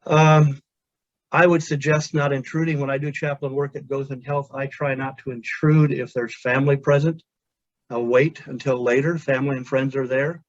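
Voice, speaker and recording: A man's voice: medium pitch, little pitch movement, no echo. Earnest male chaplain, lo-fi webinar audio. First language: Pennsylvania Dutch. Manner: measured, earnest, with pauses and restarts. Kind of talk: webinar presentation. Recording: dull, dry lo-fi audio.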